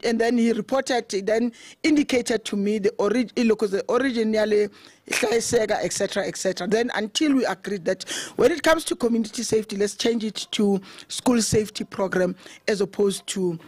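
A middle-aged South African woman speaks at a podium mic during an outdoor funeral. Her voice is loud and conversational, mixing Zulu into her English, at a middling pitch. The sound is close and dry. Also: no room sound. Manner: loud, conversational, code-switching. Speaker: eulogy speaker, committee chair. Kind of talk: funeral tribute speech. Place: open thatched shelter outdoors.

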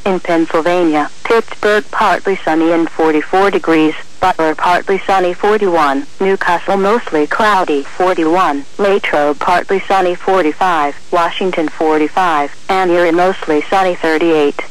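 Synthetic male radio weather reader, notably high voice, formal phrasing in short bursts. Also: fairly even intonation, unhurried, hardly any pauses, rough recording. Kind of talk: automated marine forecast and observations read-out.

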